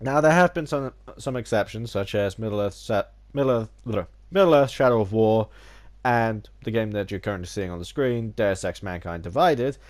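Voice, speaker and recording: A man's voice: lowish voice, moderate pace. A man with a cold and a slightly nasal voice, talking casually about games in a rambling way with many restarts. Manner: theatrical opening greeting. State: has a cold, a little nasal. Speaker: gaming YouTuber. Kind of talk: casual video essay.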